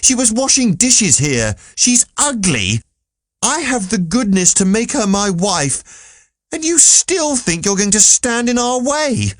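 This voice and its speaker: A man's voice: sits high, big pitch swings, takes it slowly, dry recording, clean studio sound, voice pushed up, exaggerated voice. An actor voicing an indignant young man in an audiobook story, scolding with exasperated emphasis. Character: Candide, indignant young man losing his temper.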